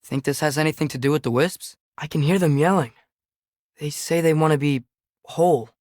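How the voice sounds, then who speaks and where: A man's voice: on the high side, normal speed, darkish tone, no echo, clean studio sound, lightly acted. A young male actor with an American accent playing an earnest, curious teenage boy adventurer in a video game, with a light youthful voice and casual, observant delivery. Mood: thoughtful, curious. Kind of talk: video game companion dialogue.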